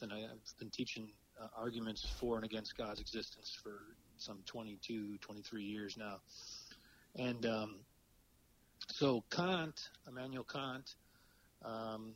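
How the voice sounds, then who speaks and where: Middle-aged man, head cold, raspy, hesitant explainer; light background hum. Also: medium pitch, poor audio. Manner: halting, many fillers and restarts. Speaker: podcast guest, philosophy professor. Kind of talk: casual interview talk.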